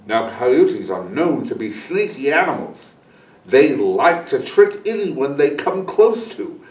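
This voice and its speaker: A man reading a children's story aloud as a guest storyteller, in an animated way. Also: mid-range voice. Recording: echoey room, distant microphone.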